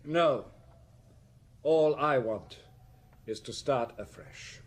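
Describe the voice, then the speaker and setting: An actor playing a bitter, dissolute count in a radio drama, intense and formal, with some background noise. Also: male voice, medium pitch, animated, extremely slow. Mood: subdued, dignified. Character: dissolute young French count, bitter, finding his dignity.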